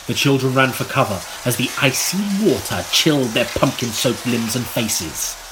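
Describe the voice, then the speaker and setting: A man narrating an audiobook in a theatrical, melodramatic style, with dramatic pauses. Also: mid-range voice, background noise.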